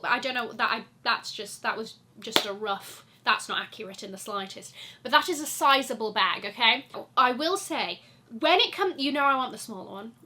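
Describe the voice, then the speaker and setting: A young woman, stuffy from a cold, chatting in a gushing, animated way about her luxury fashion wishlist. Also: a little high. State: congested from a cold. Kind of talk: chatty sponsored wishlist vlog.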